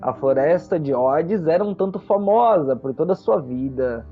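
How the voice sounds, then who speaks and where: A man narrating a tabletop game as game master, theatrical and full of deliberate pauses. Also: fairly high pitch, lively intonation, narrow, tinny audio, soft background music.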